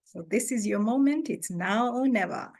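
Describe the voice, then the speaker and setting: A woman hosting an online orientation, speaking slowly and deliberately as she welcomes new members. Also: fairly high pitch, a little mellow. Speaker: orientation host. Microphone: video call.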